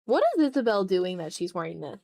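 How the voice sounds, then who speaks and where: A woman's voice: lowish voice, lively intonation, quick pace, dark tone. A woman chatting casually on a live art stream as she draws, playful and a bit dramatic, with offhand swearing. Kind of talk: casual live-stream chat while drawing.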